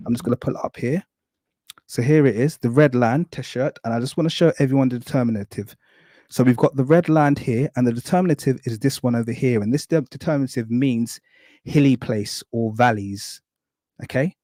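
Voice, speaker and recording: A man lectures carefully on a live stream, walking through one point after another and promising to be quick. His voice is mellow and soft-edged. The sound is dry and close. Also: medium pitch, no echo. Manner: thorough, apologetic, keeps saying really quickly. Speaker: guest explaining hieroglyphs.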